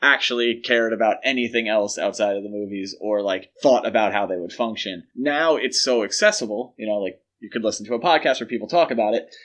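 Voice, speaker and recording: A man co-hosting a podcast, talking casually and explaining his measured opinions on pop culture. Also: on the low side, fairly bright tone. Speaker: podcast co-host.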